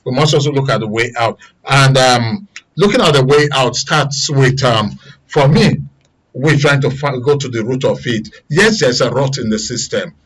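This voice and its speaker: A man's voice: medium pitch, normal speed, a bit bright, slightly rough, low-quality recording. A man with a Nigerian accent talking conversationally on a public affairs discussion programme.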